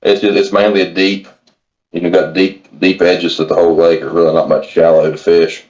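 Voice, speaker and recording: Over slightly muffled call audio comes a man with a very deep voice. He is from West Virginia and speaks as a guest in a plainspoken, folksy, casual manner. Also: flat delivery, fairly quick, a little mellow. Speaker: podcast guest, kayak angler. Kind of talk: casual chat, informal advice.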